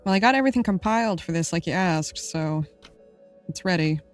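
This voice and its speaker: An actress as a deadpan female podcast producer. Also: fairly low pitch, a little fast, faint music behind, close to an everyday voice. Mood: dry, blunt. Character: dry, skeptical female podcast producer and sidekick. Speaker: podcast producer in a scripted drama. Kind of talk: naturalistic audio drama dialogue.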